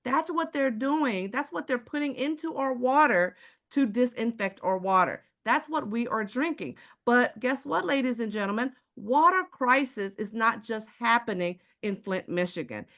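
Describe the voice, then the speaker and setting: A woman with a Texas accent giving a live online sales presentation, using many rhetorical questions and calling out to her listeners as ladies and gentlemen. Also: fairly high pitch, animated, moderate pace. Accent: Texan. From Houston, Texas. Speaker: presenter selling water filters.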